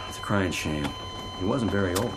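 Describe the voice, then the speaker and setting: An actor playing a matter-of-fact, resigned responder in a radio drama, gruffly practical in manner. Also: male voice, low-pitched, a little slow, noisy background.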